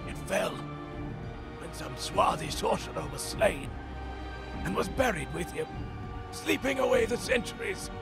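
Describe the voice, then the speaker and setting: An actor playing an old, grave and urgent male seer in a fantasy audio drama, with a raspy voice and a portentous, archaic delivery. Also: high-pitched, big pitch swings, unhurried, pauses often, over loud music, full character voice. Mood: ominous. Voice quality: raspy, creaky.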